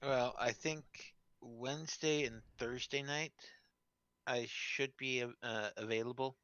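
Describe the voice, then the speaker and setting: A man chatting casually and a little haltingly over an online voice chat. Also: mid-range voice, fairly even intonation, fairly bright tone, no echo. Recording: dull lo-fi voice chat.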